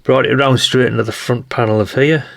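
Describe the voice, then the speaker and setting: A British man with a gravelly voice giving a casual, rambling hands-on commentary as he thinks aloud. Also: mid-range voice, steady tone, quick pace. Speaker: hobbyist radio channel host.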